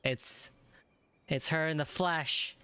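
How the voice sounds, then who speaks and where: Very low-voiced woman gamer, slangy and casual. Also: slow pace, sharp tone, clean tone, no room sound. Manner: slangy, irreverent. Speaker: gaming streamer. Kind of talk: casual gameplay reaction commentary.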